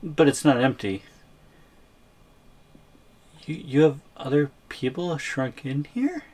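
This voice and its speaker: A man's voice: a little high, big pitch swings, takes it slowly, a little mellow, exaggerated voice. A man playing a wary, placating captive boyfriend in an ASMR audio roleplay, with a hesitant, questioning, understated delivery. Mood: uneasy disbelief.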